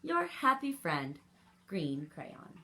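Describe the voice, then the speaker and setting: A woman voicing a polite, contented green crayon in a children's storybook, speaking in a measured, formal manner. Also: on the low side, sing-song, gravelly, sharp tone, heavily acted. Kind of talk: storybook read-aloud for children.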